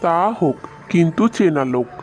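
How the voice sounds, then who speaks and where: A man's voice: on the high side, normal speed, a little mellow, faint music behind, lightly acted. A man voicing a worried, cautious poor village woodcutter in a cartoon, speaking in a plain, musing way. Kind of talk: cartoon story dubbing.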